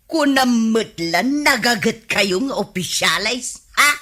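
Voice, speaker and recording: Gossipy ilocano housewife, complaining, slow talker with big pitch swings, radio comedy. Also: female voice, animated, pushed and bright, full character voice.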